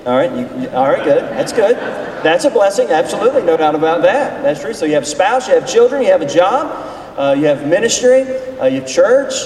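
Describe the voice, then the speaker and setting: A man's voice: high-pitched, big pitch swings, brisk, a little mellow. A man in his forties preaching informally as a pastor, folksy and conversational as he engages the people in front of him. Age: 40s. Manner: folksy, conversational, questions the audience. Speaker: pastor leading a seminar session. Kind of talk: informal sermon with audience interaction. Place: large reverberant room, speaking to a group. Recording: distant echoey hall with noise.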